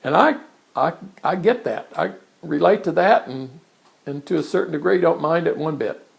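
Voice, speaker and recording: A man, introducing himself in a casual, halting monologue from his art studio. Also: on the high side, expressive, gravelly. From born in England, raised in Kansas. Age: 60s. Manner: conversational, halting with frequent restarts and fillers. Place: his art studio in front of a blank canvas.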